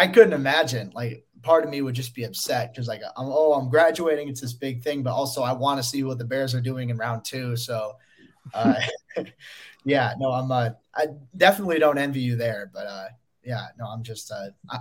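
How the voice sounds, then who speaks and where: A tired young man in his twenties, a guest analyst on a podcast, slightly hoarse and talking casually with many fillers. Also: mid-range voice, brisk. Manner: rambling, lots of fillers.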